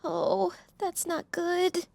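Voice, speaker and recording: A young female student in a sci-fi audiobook drama. Her high voice is expressive and wary, that of a clever, careful person. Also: drawn-out words, gravelly, no echo, exaggerated voice. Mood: alarmed. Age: young adult.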